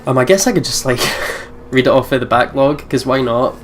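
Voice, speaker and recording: A man's voice: medium pitch, brisk, a little hiss or hum. A man hosting a let's play, reading visual novel narration dramatically and chatting casually in between.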